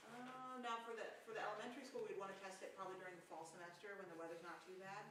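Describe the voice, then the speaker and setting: A woman presenting a proposal to a town council, rapid and casual with many restarts. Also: medium pitch, steady tone. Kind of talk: presenting at a public meeting. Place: council meeting room. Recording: very echoey room, far from mic, clear noise.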